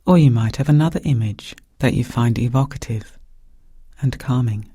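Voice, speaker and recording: A man with a soft, breathy voice guiding a meditation in calm, gentle, measured phrases with pauses. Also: mid-range voice, no room sound, clean studio sound. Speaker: meditation guide. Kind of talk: guided meditation narration.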